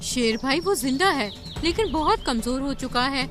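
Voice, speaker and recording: A woman's voice: high voice, animated, normal speed, fairly bright tone, soft background music. A woman voicing a small, watchful jungle bird in an animal fable cartoon. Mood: concerned.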